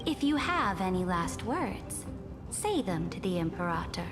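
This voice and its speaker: A woman's voice: lowish voice, sing-song, unhurried, big-room sound. An actress playing a proud, imperious female emperor in a video game, speaking in grand, commanding formal oratory. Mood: menacing, imperious. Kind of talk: dramatic video game voice acting. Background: music.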